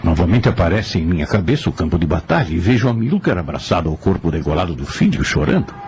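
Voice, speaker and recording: A man's voice: deep voice, sing-song, fast talker, noisy background. An actor playing a troubled, reflective man in a radio drama, with dramatic, introspective delivery. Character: troubled, reflective male student of history. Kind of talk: radio drama monologue and dialogue.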